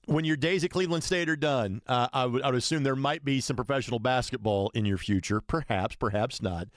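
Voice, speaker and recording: A man's voice: mid-range voice, fairly quick, no room sound, clean studio sound. A man hosting a sports radio show, interviewing a guest in a conversational way.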